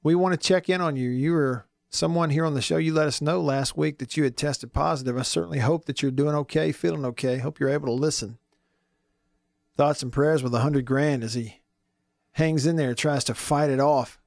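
A man hosting a sports radio talk show from a studio, hoarse today, chatty and jokey. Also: a little high, a little fast, no echo. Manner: chatty, jokey, lots of fillers.

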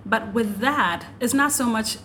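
A woman's voice: fairly high pitch, unhurried, slight background noise. A woman from South Sudan and Ethiopia, an adjunct professor telling stories as a podcast guest, acting out quotes and laughing often. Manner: animated storyteller, acts out quotes, laughs often. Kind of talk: casual podcast interview.

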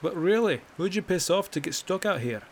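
A man voicing a gruff, jovial male Nord hunter who needles people, raised and lively against his narration. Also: high-pitched, fairly quick, slight background noise, voice pushed up. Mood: teasing. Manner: boisterous, needling.

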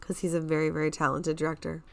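A woman's voice: deep voice, monotone, fast, gravelly. A woman co-hosting a theater podcast, chatting and bantering casually.

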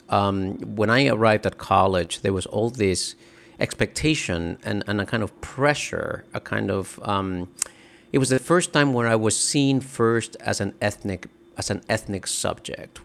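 A middle-aged man from the Dominican Republic, an author answering interview questions in a halting, thoughtful way with many restarts. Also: fairly low pitch, gravelly. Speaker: author and guest.

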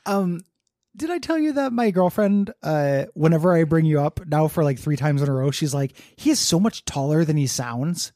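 A man's voice: fairly high pitch, expressive, normal speed, warm tone, no echo, clean studio sound. A man co-hosting a podcast who rambles through pop-culture riffs and laughs often while chatting casually. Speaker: podcast co-host. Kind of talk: casual chat.

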